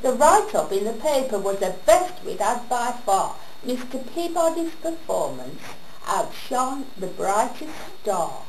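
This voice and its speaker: A woman recites a comic rhyming monologue in an echoey room with some background noise. Her delivery is theatrical and unhurried, with deliberate pauses and lively swoops of pitch. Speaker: monologue performer. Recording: roomy echo with background noise.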